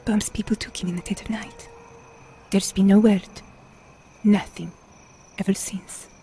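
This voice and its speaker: An actress playing a proud, resolute Italian woman who leads wartime partisans in a video game, grave and measured, with an Italian accent. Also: medium pitch, fairly even intonation, dark tone, a little hiss or hum, natural voice. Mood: somber. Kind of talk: video game cutscene acting.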